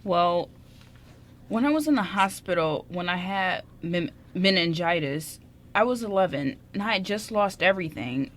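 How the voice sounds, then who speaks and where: A woman's voice: lowish voice, expressive, moderate pace, close to an everyday voice. A woman playing a shy, smitten young man in an amateur radio drama, speaking in a hesitant, stammering way. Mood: earnest, bashful.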